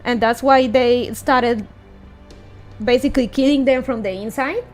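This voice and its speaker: A woman with a high voice comments casually during a game readalong. She muses aloud and drops in little interjections. Soft music plays faintly behind her. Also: a little slow. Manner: musing, hums and interjects reactions. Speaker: streamer reading a video game story aloud.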